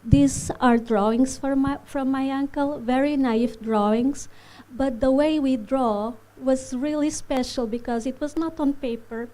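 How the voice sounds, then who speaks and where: Slow, hesitant woman from Portugal. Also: high-pitched, steady tone, mellow, low-quality recording. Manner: hesitant, many fillers, non-native phrasing. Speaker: animation filmmaker giving a masterclass. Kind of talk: presentation to a live audience. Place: festival hall.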